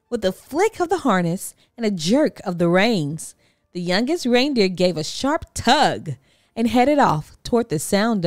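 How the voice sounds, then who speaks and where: Slow, expressive woman reading a storybook. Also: medium pitch, no room sound, studio quality. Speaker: storybook narrator. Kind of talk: expressive children's read-aloud narration.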